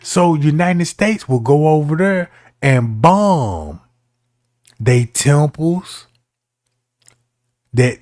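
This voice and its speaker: A man's voice: fairly high pitch, darkish tone, dry recording, clean studio sound. A man giving casual commentary as a streamer, talking in a slangy, argumentative way.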